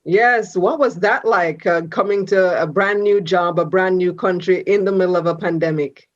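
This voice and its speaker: A woman hosts a TV business interview and asks direct, pressing questions. Her voice is mid-pitched and comes through slightly dull and lo-fi. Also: moderate pace. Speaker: host.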